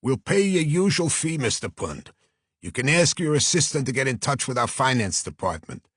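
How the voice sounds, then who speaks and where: Man voicing a brash Brooklyn talent agent, pitched up. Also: normal speed, breathy edge, no room sound, studio quality. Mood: earnest, persuasive. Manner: effusive, flattering, salesman-like. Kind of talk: audiobook character voice.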